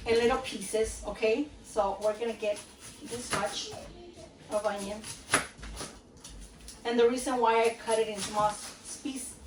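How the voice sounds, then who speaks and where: A woman from Guatemala, perhaps in her thirties, chatting casually with lots of fillers while she shows a recipe in her home kitchen. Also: medium pitch. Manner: chatty, rambling, many fillers and "okay?" check-ins. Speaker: home cooking vlogger. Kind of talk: casual home cooking demonstration. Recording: roomy, mic at a distance, faint room noise.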